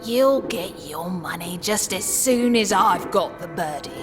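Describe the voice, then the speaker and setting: A woman's voice: lowish voice, expressive, a little slow, over music. A woman voicing an ancient, cantankerous London street woman who scolds and mutters in a comic dub, with a London accent. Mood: testy. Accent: British, London. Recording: clearly noisy background.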